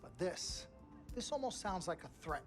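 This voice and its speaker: Slick sports agent, American, high voice, brash, combative, video game drama, prominent background music. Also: male voice, sing-song, moderate pace. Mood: indignant. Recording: music clearly behind the voice.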